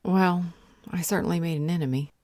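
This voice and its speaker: A stern but protective female vampire healer, acted by a woman for an audio roleplay. Her voice is low and dark, recorded close and dry, and she speaks with measured, earnest firmness. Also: fairly even intonation, no echo, close to an everyday voice. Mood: earnest, tender.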